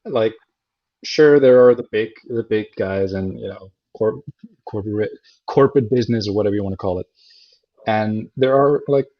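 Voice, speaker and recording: Icelandic-raised male guest, no accent, easygoing, laughs a lot, muffled lo-fi audio. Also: medium pitch. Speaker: guest, lightsaber reviewer. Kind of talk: casual chat. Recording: dull lo-fi sound.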